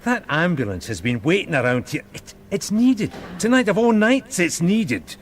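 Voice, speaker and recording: An actor playing an irritable, overworked police sergeant who barks impatient, blunt questions in a radio drama. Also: male voice, high voice, very animated, moderate pace, a little hiss or hum. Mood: angry, bossy. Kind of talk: naturalistic radio drama acting.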